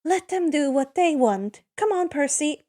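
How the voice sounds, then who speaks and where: A fearless teenage demigod girl, read by a woman storyteller. Her voice is on the high side, and she answers with biting confidence and a sharp tongue. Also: animated, measured pace. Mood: huffy.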